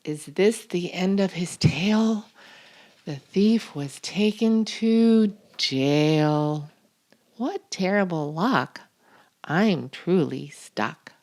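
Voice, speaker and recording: A woman reading a children's picture book aloud as a storytime host, expressive and deliberate, drawing out key words. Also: on the low side. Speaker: storytime reader and channel host.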